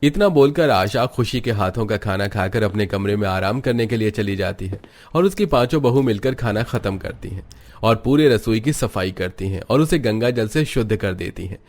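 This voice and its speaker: Guy narrating a cartoon story from a script, lowish voice. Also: animated, moderate pace, darkish tone.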